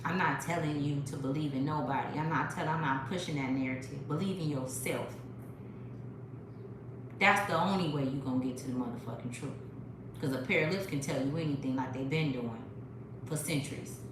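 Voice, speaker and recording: A woman's voice: low-pitched, brisk. A woman hosting a spiritual livestream, talking straight to her viewers in a profane, preachy way on a phone. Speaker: livestream host. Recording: very echoey room with noise.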